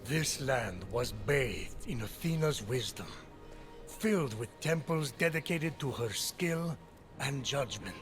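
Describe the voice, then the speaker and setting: An actor playing a grave, patient storyteller of ancient myth, narrating a video game in a measured way over music. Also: male voice, mid-range voice, animated, a bit bright. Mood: solemn. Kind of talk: dramatic scripted game narration. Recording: music behind the voice.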